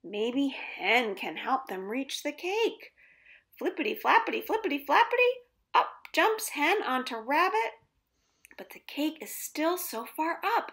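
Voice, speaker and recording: A woman reading picture books aloud to young children at home, very expressively, with drawn-out words. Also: high voice. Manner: expressive, with drawn-out words, sound effects and gasps. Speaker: children's storytime reader. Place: indoors at home. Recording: roomy, echoing home recording.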